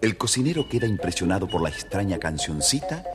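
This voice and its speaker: A man narrating a scripted children's story in an expressive, theatrical way, with a husky voice. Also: low voice, a little fast. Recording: hall-like reverb, faint music behind.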